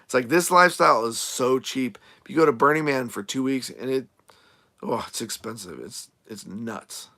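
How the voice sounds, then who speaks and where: A man who is a nomad RV creator, chatting casually on a live stream as he answers viewer comments, rambling with lots of fillers and restarts, his voice going a little hoarse. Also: mid-range voice, moderate pace, pushed and bright. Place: RV camp in the desert, outdoors.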